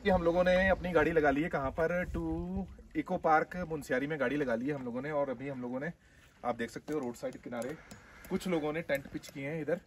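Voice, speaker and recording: A man's voice: on the high side, steady tone, brisk, a little hiss or hum. A man casually explaining the camp setup outdoors at a roadside campsite. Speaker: fellow traveller. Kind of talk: casual on-camera chat.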